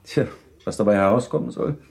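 An actor in a German radio drama playing a wry, mocking gentleman dinner guest. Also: lowish voice, brisk, gravelly.

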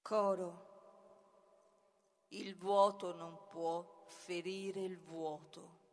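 Very slow, echoing tragic chanter. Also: female voice, on the low side, little pitch movement, a little mellow. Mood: solemn incantation. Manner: declamatory, deliberate, incantatory. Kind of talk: poetic avant-garde theatre reading, half-sung incantation. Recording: long hall reverb, music behind.

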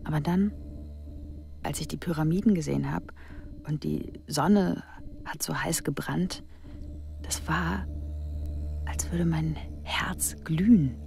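An actress playing an eager, impressionable young woman, an engineer's daughter, in a German radio drama, slipping into hushed, impulsive asides. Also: sits low, takes it slowly, gravelly, long pauses, a little hiss or hum, heavily acted. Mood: wistful. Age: young adult. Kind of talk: German radio drama acting with inner monologue. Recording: studio radio drama with music underneath.

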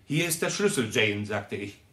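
Stern master in an echoey room. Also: male voice, lowish voice, lively intonation, moderate pace, fairly bright tone. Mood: stern, patronising. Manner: commanding, clipped, patronising. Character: stern, self-important master of a household giving orders. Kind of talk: 1960s radio play acting.

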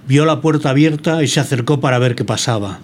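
A man's voice: mid-range voice, a little fast, very noisy. A man from narrating a scripted children's story.

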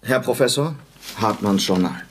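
An actor playing a grudgingly obedient manservant who repeats things stiffly, in a radio play. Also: male voice, fairly low pitch, moderate pace, a little hiss or hum, lightly acted. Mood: dutiful. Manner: stiff, loud military-style repeating. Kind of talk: German radio play acting.

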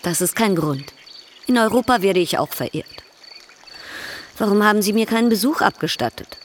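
A woman acting a worldly, proud European baroness with an elegant, measured manner in a German radio drama. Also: mid-range voice, expressive, a little hiss or hum, close to an everyday voice. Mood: cool, proud. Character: poised, worldly European baroness, coolly courteous.

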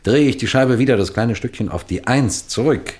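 An actor playing a shrewd, self-assured middle-aged detective chief in a 1960s radio drama, explaining things in a measured, knowing way. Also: male voice, medium pitch, darkish tone, lightly acted. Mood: measured, explanatory.

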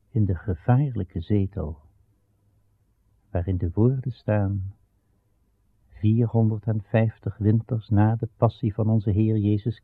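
A man's voice: lowish voice, breathy edge, dry recording. A man narrating a radio drama as a storyteller, in a solemn, archaic style. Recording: muffled, narrow-band radio recording.

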